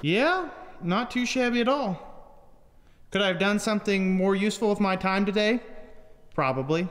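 A man's voice: sits high, clear, resonant tone, long reverb tail. A young man, a farmer, talking to camera in a dry, self-deprecating, chatty way. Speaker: farmer and vlogger. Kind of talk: casual vlog narration. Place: on a farm, partly outdoors and in a tractor.

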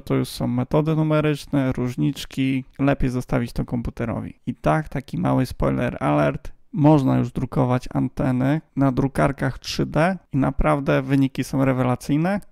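A man's voice: medium pitch, gravelly, darkish tone, no echo. A man hosting a casual, explanatory hobby radio vlog, talking outdoors close to a handheld phone. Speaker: amateur radio hobbyist host.

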